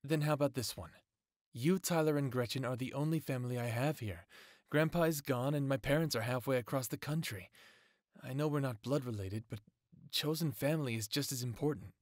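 A man's voice: mid-range voice, brisk, no echo, studio quality, close to an everyday voice. A man playing an earnest lone male werewolf who longs for family, speaking sincerely in an audio drama.

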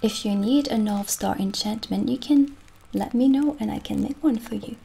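A young woman playing a gentle, kindly witch shopkeeper in an ASMR roleplay, soft-spoken and soothing, slipping toward breathy near-whispers. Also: a little high, moderate pace, a little hiss or hum. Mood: calm, helpful. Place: small indoor candlelit set.

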